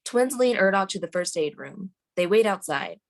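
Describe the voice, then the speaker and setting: A woman's voice: mid-range voice, animated, normal speed, vocal fry. A woman reading scene directions as the narrator at a screenplay table read.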